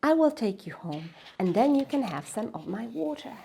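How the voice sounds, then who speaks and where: A woman voicing a gentle, patient river spirit in a children's storybook, soft and lulling. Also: fairly high pitch, very animated, normal speed, vocal fry, a little hiss or hum. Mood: soothing. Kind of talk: children's storybook read-aloud.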